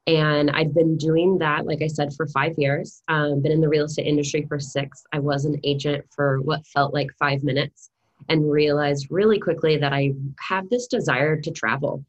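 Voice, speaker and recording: Podcast guest: American woman, 30s, low register, conversational storyteller. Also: fairly even intonation, normal speed, highs cut off. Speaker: podcast guest, real estate investor.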